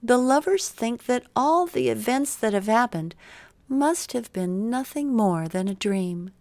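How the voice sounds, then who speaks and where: Slow-paced woman reading a bedtime story. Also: fairly high pitch, lively intonation, a little mellow, dry recording. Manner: measured, simple storytelling delivery. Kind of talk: scripted children's bedtime story narration.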